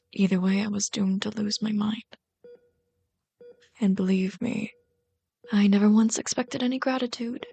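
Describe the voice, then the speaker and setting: Girl doing a obsessed villain lady for asmr roleplay, real slow n intense, kinda whispery, bitter and begging. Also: mid-range voice, vocal fry. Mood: anguished. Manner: slow, intimate, dramatic delivery, often dropping to a whisper.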